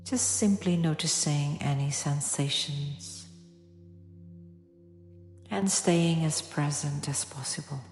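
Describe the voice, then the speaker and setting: A woman teaching a guided yoga nidra meditation in a soft, soothing, unhurried way with long pauses. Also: deep voice. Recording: echoey long reverb, music behind.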